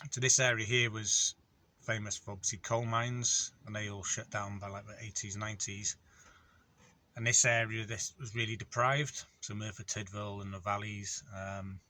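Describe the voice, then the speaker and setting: A man in his forties talks casually about his courier day, rambling along with plenty of ums. His voice sits a little low, yet the tone comes out bright and forceful. A faint hum of ambience is heard behind him. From North Wales. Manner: rambling, matter-of-fact storytelling with many ums. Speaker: van courier vlogger. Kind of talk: casual vlog chat. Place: in or beside his van on an industrial estate.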